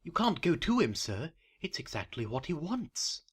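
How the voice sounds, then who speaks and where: Man playing a husky, worried old butler. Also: on the high side, big pitch swings, moderate pace, fairly bright tone, full character voice. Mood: pleading. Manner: formal, deferential, pleading. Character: loyal, worried older male butler. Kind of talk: audio drama acting.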